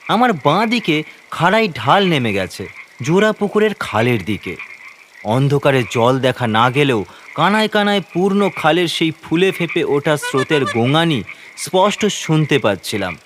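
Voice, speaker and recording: A man's voice: on the high side, big pitch swings, moderate pace, a bit bright. Male horror narrator, theatrical suspense, swooping intonation, scripted storytelling, light noisy frog ambience. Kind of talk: dramatic scripted first-person narration. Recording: faint ambient noise, croaking frogs behind.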